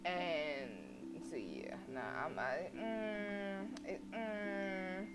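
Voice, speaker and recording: A woman's voice: on the low side, drawn-out words. A sassy, outspoken woman chatting casually and slangily as she streams a game and reacts to it. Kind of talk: casual livestream commentary, reacting and reading a visual novel aloud. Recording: music behind the voice. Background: plucked guitar game music.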